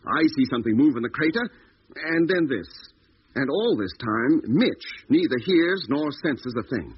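Ship's doctor, mid-pitched, very varied intonation, explanatory, puzzled, dull hissy old radio. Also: male voice, big pitch swings, slightly rough. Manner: explanatory, deliberate. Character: level-headed, puzzled spaceship doctor and scientist. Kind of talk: 1950s radio drama acting.

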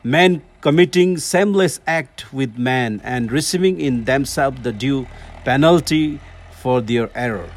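A man from Nepal with a Nepali accent, a native Nepali speaker reading scripture aloud with care and some restarts. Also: medium pitch. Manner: careful halting reading with restarts. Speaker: guest reader.

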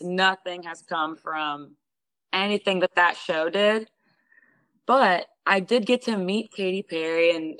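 A young woman from Houston. She is a singer being interviewed, and she tells a story in a lively way, acting out what other people said. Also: lowish voice, dry recording, low-quality recording. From Houston, Texas. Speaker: guest singer.

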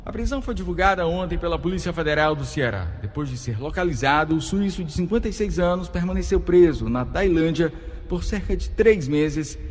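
Man, reporter, husky, slightly high voice. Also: in a large hall. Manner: formal read news delivery. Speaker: radio reporter. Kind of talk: news report.

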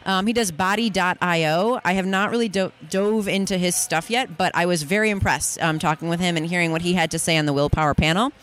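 A woman's voice: lowish voice, brisk, hardly any pauses, a bit bright. A woman guest in a casual in-person interview, bubbly and enthusiastic, recorded on a busy expo floor. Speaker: guest, fitness workshop presenter. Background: crowd ambience.

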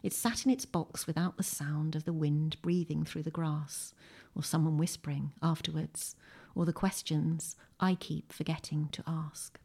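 A woman's voice: low-pitched, steady tone, moderate pace, gravelly, dark tone. A British woman talking chattily and emphatically about books as a guest, sometimes reading aloud. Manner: enthusiastic, emphatic. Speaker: guest writer, poet. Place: a room in a home.

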